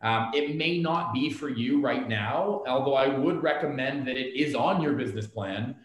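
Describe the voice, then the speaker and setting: A man's voice: mid-range voice, normal speed. Man speaking in a very echoey room. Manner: earnest, repeats words, restarts often. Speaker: office staff presenting an update. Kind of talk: casual meeting presentation. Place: office meeting room. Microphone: laptop camera on video call, seated back from it.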